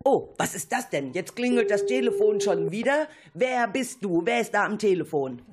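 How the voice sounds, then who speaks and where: A woman hosts a radio programme for children. Her voice sits at a medium pitch. She delivers scripted links and questions to her listeners. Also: animated, normal speed. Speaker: radio host.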